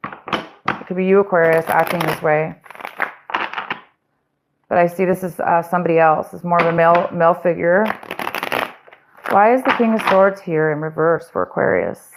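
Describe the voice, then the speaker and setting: A woman's voice: lowish voice, fairly even intonation, moderate pace, long pauses, noisy background. A woman giving a casual, rambling tarot reading, talking straight to her viewers with fillers and restarts, and saying she is losing her voice. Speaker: tarot reader.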